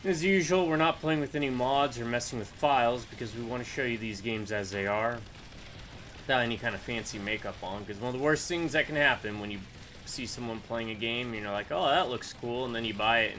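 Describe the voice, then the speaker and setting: A man's voice: mid-range voice, brisk, sharp tone, slight background noise. A man hosting a co-op gameplay video, chatting casually and rambling with plenty of "like" and "uh". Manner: rambling, full of "like" and "uh". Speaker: gaming channel host.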